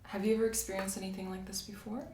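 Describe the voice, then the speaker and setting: A soft-spoken woman doing an ASMR medical roleplay as a doctor, asking methodical questions in a reassuring way. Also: mid-range voice, flat delivery, racing. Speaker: ASMR creator playing a doctor. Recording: roomy, distant mic, faint hiss.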